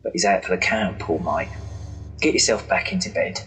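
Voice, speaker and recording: An actor playing a gruff but sympathetic workhouse inmate in a radio drama, scolding in colloquial speech. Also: male voice, low voice, normal speed, a bit bright, soft background music. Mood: hushed scolding.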